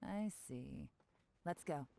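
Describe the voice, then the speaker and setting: A woman playing a composed, shrewd intelligence operative in a video game, with measured authority. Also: deep voice, expressive, vocal fry, warm tone, a little hiss or hum, exaggerated voice. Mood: cool, composed. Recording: game music behind voice.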